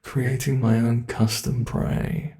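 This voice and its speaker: A sadistic British monster, voiced by a man, savours his captive in a slow, gravelly voice. He talks calmly and unhurriedly, gloating, and often sinks to a close, cruel whisper. The sound is intimate, right up against the mic. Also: medium pitch. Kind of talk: ASMR horror roleplay.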